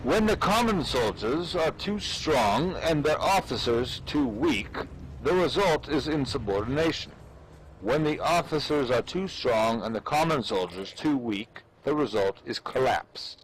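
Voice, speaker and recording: A man's voice: medium pitch, animated, unhurried, poor audio. Man reading dramatically over faint background noise. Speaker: amateur reader. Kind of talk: dramatic reading of an old military treatise.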